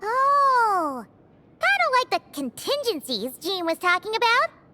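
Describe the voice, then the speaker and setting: Super high squeaky little fairy mascot, chatty n blunt, bouncy pitch, anime game, music behind. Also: female voice, big pitch swings, measured pace, exaggerated voice. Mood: curious. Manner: bubbly, refers to self by name. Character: small cheerful childlike floating fairy companion, chatty and blunt. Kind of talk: anime video game voice acting.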